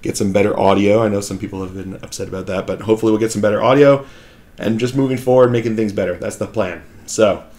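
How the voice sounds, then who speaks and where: A man hosts his own hobby channel in a low, slightly raspy voice. He talks casually and loosely, trailing off and restarting often. He speaks into a desk microphone in a room at home. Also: steady tone, fast talker, darkish tone. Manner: rambling, with many fillers and restarts. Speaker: channel host. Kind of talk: casual hobby vlog talk while painting. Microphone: new desk microphone.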